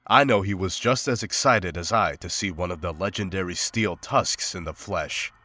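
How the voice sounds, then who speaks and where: A man's voice: sits low, normal speed, bright, forceful tone. A man playing a stoic, scholarly monster hunter in a visual novel, speaking in a formal, composed, literary way. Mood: composed, reflective. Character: stoic, scholarly male monster hunter, calm and matter-of-fact. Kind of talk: scripted visual novel drama and journal narration.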